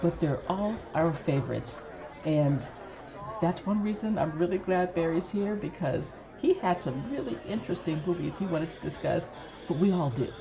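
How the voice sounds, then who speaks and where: A woman's voice: on the low side, animated, moderate pace, a crowd in the background. A woman hosting a podcast, introducing the discussion in a casual, conversational style. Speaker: podcast host. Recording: background voices.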